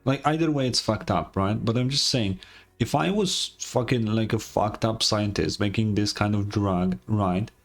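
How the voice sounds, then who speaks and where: A man's voice: fairly low pitch, normal speed. A man giving casual playthrough commentary as a gaming streamer, blunt and sweary.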